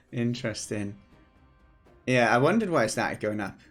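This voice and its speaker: A British man in his thirties, a game developer hosting a casual devlog stream, slightly hoarse, chatty and rambling with restarts, at a desk mic in a home office. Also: medium pitch, expressive, takes it slowly, a bit bright, faint music behind.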